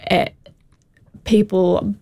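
A young woman from New Zealand with a New Zealand accent, a linguistics researcher who explains things in a measured way, with hedging fillers. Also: medium pitch, flat delivery, dark tone, dry recording. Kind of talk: explanatory interview answers.

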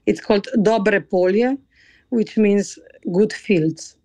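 A woman from Slovenia with a Slovenian accent, a choral conductor answering interview questions in a halting way, often searching for words. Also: mid-range voice, darkish tone, dry recording. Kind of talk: interview conversation.

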